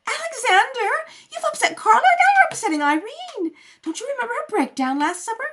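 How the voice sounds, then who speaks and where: Woman playing a high, fluttery society matron. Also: big pitch swings, fairly quick, a bit bright, exaggerated voice. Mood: scolding, flustered. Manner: fluttery, affected. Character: fluttery, scatterbrained, doting society matron mother. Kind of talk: radio play acting, comedy.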